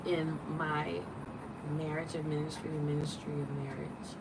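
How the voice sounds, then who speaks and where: Animated woman in her late thirties. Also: sits low, normal speed, creaky, far from the mic, heavy background noise. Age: 30s. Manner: animated, preachy, rhetorical questions. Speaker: livestream host. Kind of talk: casual livestream talk.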